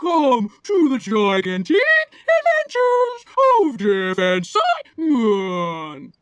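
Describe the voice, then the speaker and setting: A woman putting on the voice of a huge ponderous whale, with slow, stretched-out, exaggerated words in a comedy podcast. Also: mid-range voice, huge pitch swings, no echo. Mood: ponderous, playful. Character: huge ponderous whale speaking in drawn-out swoops.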